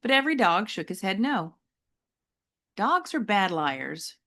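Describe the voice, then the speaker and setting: A woman reading a children's novel aloud like an audiobook, with deliberate, emphatic and varied phrasing. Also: mid-range voice, very animated, fairly bright tone. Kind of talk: expressive audiobook-style storytelling for children.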